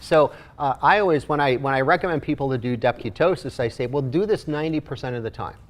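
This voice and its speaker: A man, the guest in a casual studio interview, explaining in a measured way with frequent restarts. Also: medium pitch. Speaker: guest nutrition coach.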